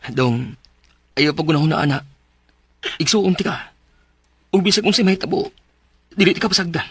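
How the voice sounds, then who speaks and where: A worried, higher-voiced caring older brother. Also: male voice, lively intonation, moderate pace, breathy edge, no echo. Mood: tender, pleading. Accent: Cebuano Filipino. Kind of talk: Filipino radio drama acting.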